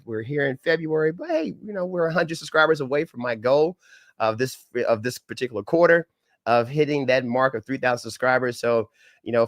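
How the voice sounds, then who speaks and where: A man's voice: mid-range voice, no room sound, studio quality. A middle-aged man with a head cold, his voice a bit crackly and nasal, hosting a live-stream training in an upbeat, folksy manner with preacher-like greetings. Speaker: government contracting trainer. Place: home setup in Dallas.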